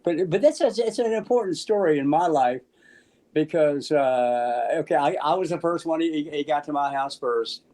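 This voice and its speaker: An American man in his seventies casually telling anecdotes in an animated, halting way, acting out the dialogue. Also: fairly high pitch. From Memphis, United States. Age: 70s. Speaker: interview guest, musician. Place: small room at home, on a video call.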